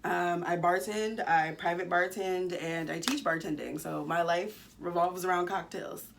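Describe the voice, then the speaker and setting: Woman co-host, low gravelly voice, relaxed chat, faint hiss behind. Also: a little slow. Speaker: podcast co-host. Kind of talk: casual podcast chat.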